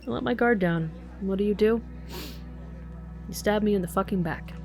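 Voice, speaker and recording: A woman's voice: medium pitch, moderate pace, darkish tone, soft background music, natural voice. A woman playing an angry, foul-mouthed police detective in an audio drama, blunt and sarcastic. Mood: hurt, furious.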